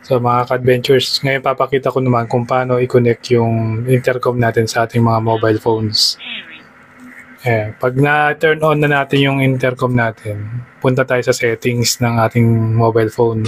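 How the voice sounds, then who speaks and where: A man's voice: mid-range voice, poor audio. A man with a Filipino accent narrating a step-by-step product tutorial for a vlog in a plain, conversational, instructional way. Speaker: motorcycle vlogger giving a product tutorial.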